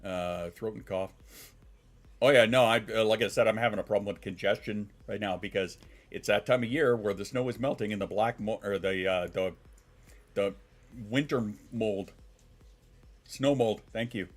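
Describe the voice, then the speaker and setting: A man's voice: on the low side, normal speed. Congested man chatting on a stream. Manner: swearing, rambling, reacting to chat. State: congested, sniffling. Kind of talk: casual live-stream chat. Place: home.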